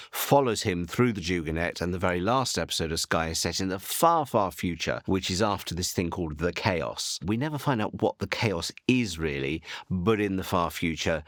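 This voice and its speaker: A man's voice: sits low, fairly bright tone, dry recording, clean studio sound. A man giving a chatty, emphatic explanation in an interview, telling a story as he goes.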